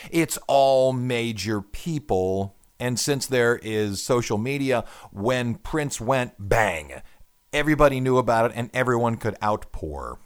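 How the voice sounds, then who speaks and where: A man hosting a music radio show in a middle pitch. He explains his topic at length in a chatty way, like someone giving a lecture. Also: animated, unhurried, smooth. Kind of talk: casual talk radio.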